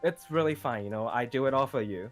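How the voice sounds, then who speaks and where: A man acting as an awkward but attentive counsellor figure in a game roleplay. He speaks at a middle pitch, haltingly, doubling back on his own words. Faint music runs underneath. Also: lightly acted. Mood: attentive, mildly awkward. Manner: halting, repeats himself, murmured acknowledgements. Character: attentive, awkward male confidant taking notes like a counsellor. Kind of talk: improvised Minecraft roleplay dialogue.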